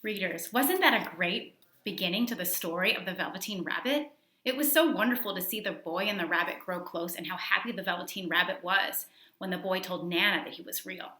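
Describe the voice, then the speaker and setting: A woman reading a children's story aloud as a teacher, expressive, with emphasis on key words. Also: on the low side, brisk.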